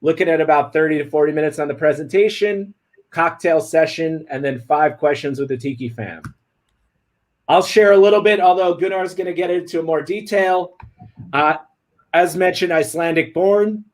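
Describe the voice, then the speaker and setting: A man's voice: a little high, moderate pace. A man hosting an online talk stream, upbeat and laid-back, running through housekeeping and introducing a guest. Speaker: host. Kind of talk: casual livestream hosting.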